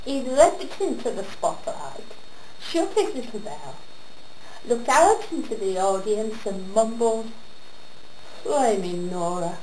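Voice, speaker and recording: High, animated woman reciting comic verse. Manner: theatrical storytelling with deliberate pauses. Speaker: monologue performer. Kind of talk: comic rhyming monologue recitation. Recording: roomy echo with background noise.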